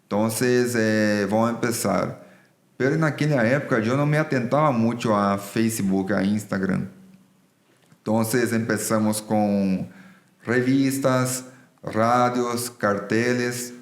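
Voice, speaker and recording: Male guest, Brazilian accent, Portuguese native, lowish voice, explains earnestly in conversation, roomy sound. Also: slow. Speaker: business executive, podcast guest. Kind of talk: conversational interview. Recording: roomy echo.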